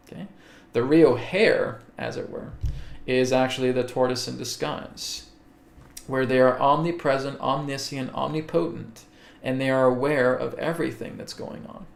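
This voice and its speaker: Man, gravelly voice, live webcast host, slow deliberate Q&A, echoey room. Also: mid-range voice. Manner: slow and deliberate, checks in with "okay?" often. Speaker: webcast host answering viewer questions.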